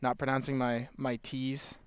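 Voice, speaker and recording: A man's voice: medium pitch, little pitch movement, unhurried, clear, resonant tone, no echo. Casting: Californian male commentator with a mid-range voice and a dry, close sound, laid-back and conversational. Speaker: college soccer commentator. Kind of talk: play-by-play commentary.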